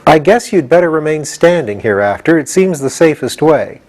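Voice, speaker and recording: A man's voice: fairly high pitch, expressive, moderate pace, darkish tone, pitched above the performer's own voice, natural voice. A man voicing an earnest, practical young boy in a storybook, explaining things matter-of-factly with a touch of impatience. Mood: reassuring.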